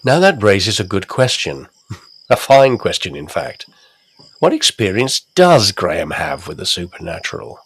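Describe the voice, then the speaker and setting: A man's voice: low voice, sing-song, measured pace, slightly rough, dry recording, full character voice. A man narrating a scripted, spooky Victorian tale of the coast. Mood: storytelling. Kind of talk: scripted ghost-story narration.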